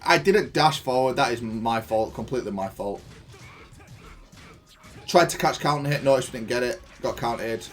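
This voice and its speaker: A man with a mid-pitched voice talks through his own fighting-game match. His delivery is informal and matter-of-fact, like a player reviewing a replay for viewers. He is openly self-critical as he analyses the play. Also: normal speed, pauses often, faint music behind. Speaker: gaming content creator reviewing own match. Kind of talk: casual gameplay analysis commentary.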